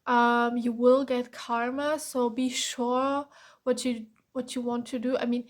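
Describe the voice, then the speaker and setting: A woman with a German accent, German being her first language, giving a blunt, earnest pick-a-card tarot reading full of ums and restarts. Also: high-pitched. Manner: blunt, earnest, calling the viewer out directly; frequent ums and restarts, repeats "to be honest".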